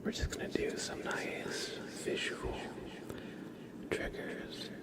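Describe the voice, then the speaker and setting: A man hosting an ASMR livestream, speaking in a soft, soothing whisper and chatting gently to his viewers. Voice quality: whispered, breathy, with low creaky murmurs. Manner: soft, slow, soothing, greets viewers one by one.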